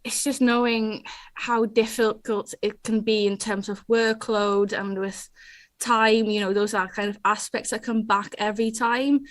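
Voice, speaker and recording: A woman from the Netherlands answers onstage questions in a fairly high voice. She hesitates with frequent ums and small laughs. The sound is dry. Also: little pitch movement, measured pace, no room sound. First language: Dutch. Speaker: award winner, digital skills trainer. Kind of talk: onstage interview.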